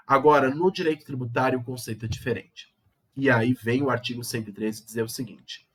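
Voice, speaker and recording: Brazilian guy teaching law online, kinda hoarse from a cold, husky voice, repeats stuff to drive it home. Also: a bit bright. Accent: Brazilian Portuguese. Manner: explanatory, repeats key terms, rises in pitch for emphasis. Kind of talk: online video lesson.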